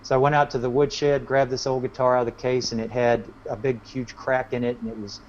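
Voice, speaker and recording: A man's voice: medium pitch, flat delivery, fairly quick, a little hiss or hum. A man chatting casually as a musician guest in an interview, telling anecdotes in a rambling way. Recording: dull, lo-fi audio.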